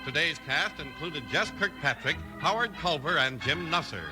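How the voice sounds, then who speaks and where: Vintage American male announcer, 1950s radio ad delivery, lively swooping pitch, music underneath. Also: normal speed.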